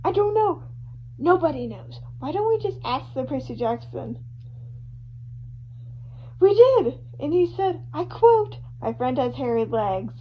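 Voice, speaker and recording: A woman's voice: high-pitched, very animated, measured pace, pauses often. A woman voicing an eager male true-crime show host, telling the case in an emphatic, conversational way that builds suspense. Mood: amused, emphatic. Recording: muffled lo-fi home recording with hum.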